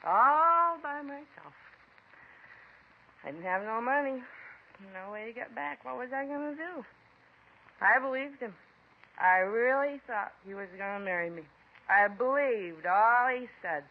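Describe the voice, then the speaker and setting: An actress playing a bitter, defiant mother in a 1950s radio drama, shrill and accusing, then whining in self-pity, heard on a dull old radio broadcast. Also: expressive, unhurried, long pauses.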